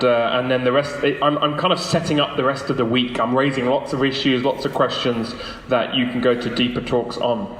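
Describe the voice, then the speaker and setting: A man's voice: mid-range voice, a bit bright. Man giving a talk in an echoey hall. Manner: persuasive, rhetorical questions, emphatic phrasing. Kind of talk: public lecture to a live audience. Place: large echoey room, lunchtime public talk. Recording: echoey hall with long reverb.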